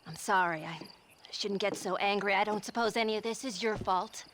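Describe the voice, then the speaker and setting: An actress playing a middle-aged, devoted wife in a Western game, genteel and fretful. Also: female voice, medium pitch, normal speed, background noise, close to an everyday voice. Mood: fretful, indignant. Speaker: video game character.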